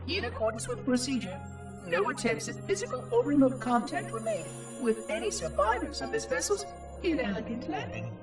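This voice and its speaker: An actor playing a small floating machine caretaker who talks in a precise, formal and oddly cheerful way. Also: male voice, very high voice, very animated, a little fast, far from the mic, over music. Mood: solemn. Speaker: monitor of an installation. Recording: game score playing behind.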